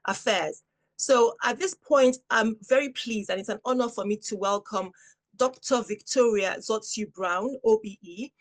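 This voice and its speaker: A woman host with a mid-pitched voice introduces her guest in a formal, welcoming way. She then asks her questions politely. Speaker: interviewer, host. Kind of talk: prepared introduction and interview questions.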